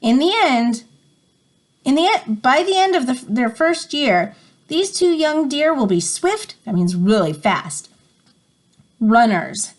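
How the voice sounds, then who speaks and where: Woman teacher, picture-book read-aloud, fairly high voice, very lively intonation, explanatory. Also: takes it slowly. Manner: explanatory, stops to define words and prompt listeners.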